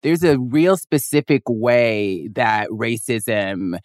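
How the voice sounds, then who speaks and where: A woman hosting an interview, telling stories in an animated way and slipping into the voices of the people she quotes. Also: rumbling low, takes it slowly, no echo, studio quality. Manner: animated storyteller who acts out quoted voices. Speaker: podcast host. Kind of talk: casual interview chat.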